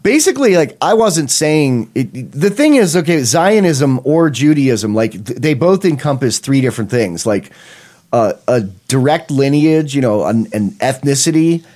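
A man with a mid-pitched voice co-hosts a casual political talk show. He rambles through his points in a halting, conversational way, often backing up to restart a sentence. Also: very animated, a little mellow.

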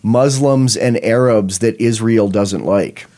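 A man's voice: fairly low pitch, takes it slowly, warm tone. A man co-hosting a political talk show, chatting casually in a rambling way and often restarting his sentences.

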